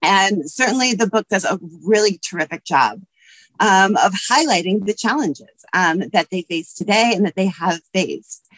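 A woman's voice: medium pitch, animated, moderate pace, fairly bright tone, dry recording. Female academic, 30s, scratchy allergy voice, earnest with fillers, dry webcam sound. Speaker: history professor and panelist. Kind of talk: prepared remarks on a video call. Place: office with bookshelves. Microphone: webcam video call.

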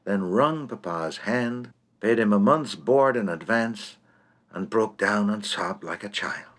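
Lively, unhurried male storyteller. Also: fairly low pitch. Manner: droll, theatrical, precise storytelling. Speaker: storyteller, narrator. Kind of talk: scripted narration of a comic short story.

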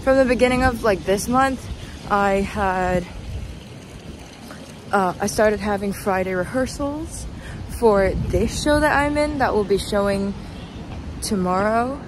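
A young woman vlogs on a noisy city street, sounding tired. She talks at a slow, halting pace, rambling casually and poking fun at herself. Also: mid-range voice. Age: young adult. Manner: halting, rambling, self-deprecating. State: tired, sleep deprived. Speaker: vlogger, student performer. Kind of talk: casual walking vlog, rambling chat. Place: outdoors on a city street at night, walking. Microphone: phone at arm's length. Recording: noisy outdoor street, traffic ambience.

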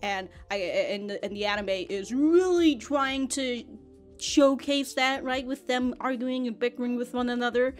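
A woman's voice: fairly high pitch, a little slow, faint music behind. A young woman in her twenties hosting an anime reaction video, chatting casually, rambling with frequent laughs and swearing, close to a studio mic. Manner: rambling, laughs often, swears, repeats words. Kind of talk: casual review chat. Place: small room with anime backdrop. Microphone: large foam-covered studio mic in front.

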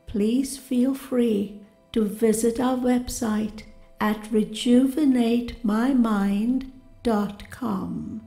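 A woman narrating a scripted guided meditation with soothing affirmations in a calm, gentle, deliberate manner. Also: fairly high pitch. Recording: roomy reverberant voice over music. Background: music.

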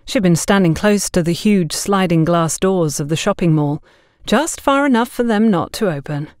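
A woman narrates an audiobook story in a fairly low voice. The sound is dry and close, with a scripted storytelling delivery. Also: animated, moderate pace, darkish tone, no echo.

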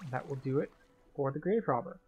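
A man's voice: mid-range voice, animated, fairly quick, muffled recording. A man giving casual, step-by-step commentary as he guides viewers through a video game. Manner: explanatory, step-by-step.

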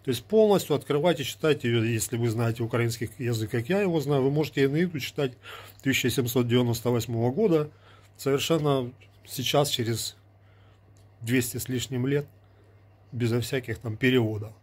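A man's voice: medium pitch, a little fast. A man in his forties from Ukraine, talking to a phone camera at arm's length in a small indoor room, arguing with a sarcastic, lecturing manner. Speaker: vlogger. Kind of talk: casual talk to camera. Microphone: earbud mic, phone at arm's length.

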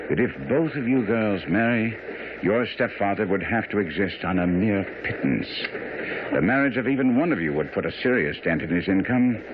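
An actor playing a shrewd, commanding Victorian detective in a radio drama, questioning with incisive authority. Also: male voice, low voice, narrow, tinny audio. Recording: noisy, band-limited old radio broadcast.

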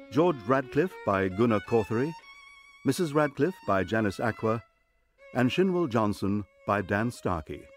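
A British man announces scripted radio credits. His voice is on the low side, with soft violin music underneath.

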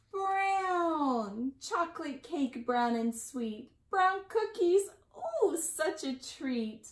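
A high-voiced woman in her forties reads a picture book aloud for little kids in an echoey room. She goes very slowly, with sing-song delivery and stretched, emphasized key words. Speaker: library storytime reader. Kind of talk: picture-book read-aloud for young children. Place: small indoor room at home. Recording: echoey room, slightly distant mic.

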